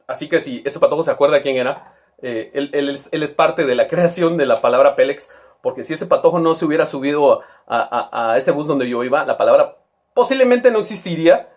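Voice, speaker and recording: A man's voice: on the high side, expressive, brisk. Crude, rambling Guatemalan man. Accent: Guatemalan Spanish. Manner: crude, rambling, full of slang and restarts. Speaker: toy seller and vlogger. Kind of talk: casual storytelling monologue.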